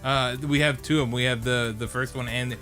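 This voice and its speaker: A man's voice: mid-range voice, steady tone, brisk, a bit bright, soft background music. A man co-hosting a podcast, chatting casually in a rambling way that circles back mid-thought. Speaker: podcast co-host. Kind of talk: casual chat.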